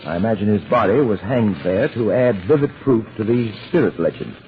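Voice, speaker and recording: An actor playing a cool, commanding gentleman adventurer in an old-time radio drama, brisk and polite. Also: on the low side, expressive, lightly acted. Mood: composed, dry. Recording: noisy, phone-like old radio recording.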